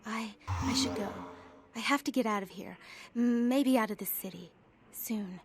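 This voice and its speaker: A fragile young vampire in a video game, her voice set high. She is newly turned, frightened and heavy with guilt, and her delivery is raw and pleading. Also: unhurried, heavy background noise. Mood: sad, anxious. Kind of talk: dramatic video game voice acting.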